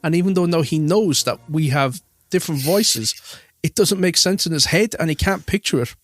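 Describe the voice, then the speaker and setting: A man's voice: a little high, moderate pace, dry recording, clean studio sound. A man with a Cork Irish accent, co-hosting a film commentary podcast and telling chatty anecdotes. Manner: chatty anecdotal storytelling, laughs often. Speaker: podcast co-host. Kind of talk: casual film commentary chat.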